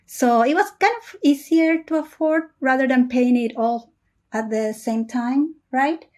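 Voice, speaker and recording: Female engineer guest from Peru, higher pitch, calm and deliberate, video-call audio. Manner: measured and thoughtful, with frequent "uh" pauses. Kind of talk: casual interview answers.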